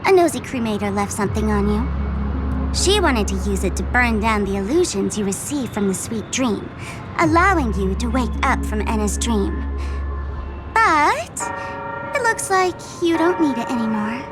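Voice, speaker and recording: A woman's voice: fairly high pitch, very animated, moderate pace. An actress playing a teasing, gleeful female trickster in a video game, theatrical and mocking, with playful menace. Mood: playful, smug. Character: gleeful, teasing female trickster fool threatening to blow up a planet. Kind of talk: video game character acting. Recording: music behind the voice.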